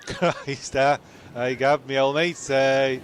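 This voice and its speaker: A man's voice: mid-range voice, fairly even intonation, slow, a little hiss or hum, natural voice. A man playing a matey, sweary, exasperated castaway in a comic radio play.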